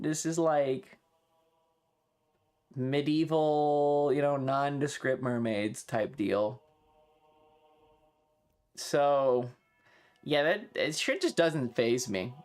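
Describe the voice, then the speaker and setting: A man chatting casually on a livestream, rambling and halting, swearing freely as he talks. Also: on the high side, clean tone, soft background music. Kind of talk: casual rambling stream chat.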